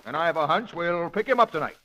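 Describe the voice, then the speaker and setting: An actor playing a self-satisfied, earnest and pompous police commissioner in an old radio drama. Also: male voice, fairly high pitch, animated, fast, sharp tone, lo-fi sound. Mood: smug, insistent. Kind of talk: 1940s radio drama acting.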